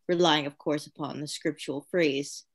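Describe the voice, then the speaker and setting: A woman's voice: low-pitched, steady tone, moderate pace. A woman playing a tormented, obsessive asylum lunatic in a radio play, with formal, theatrical diction. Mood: defensive, genteel. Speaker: actor.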